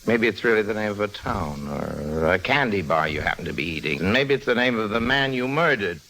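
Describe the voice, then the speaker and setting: Cheeky hired-investigator crook, fairly deep voice, heavy fry, sardonic, slangy, vintage radio noir. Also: male voice, lively intonation, brisk, sharp tone. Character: wry, cheeky American small-time crook turned hired investigator. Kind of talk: 1950s radio drama acting with narration.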